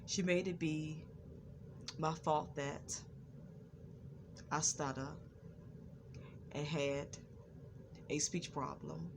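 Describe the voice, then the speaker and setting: Slow-talking woman with a stutter. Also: sits low, fairly even intonation, creaky, background noise. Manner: repetitive, circling back over the same phrases. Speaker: vlogger. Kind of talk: personal testimony vlog.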